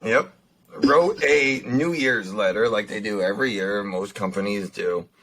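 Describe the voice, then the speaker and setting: A young man with a slightly raspy voice, co-hosting a casual podcast, chatting and reading out news with lots of pauses and fillers. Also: lowish voice, fairly bright tone. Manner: halting, lots of fillers and restarts. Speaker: podcast co-host.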